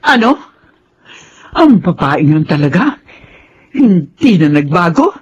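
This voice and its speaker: An exasperated middle-aged Filipino mother in a radio drama. She is fretful and very animated in pitch, heard on a slightly muffled recording. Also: slow, husky, full character voice. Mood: shocked. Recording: dull lo-fi sound, faint noise.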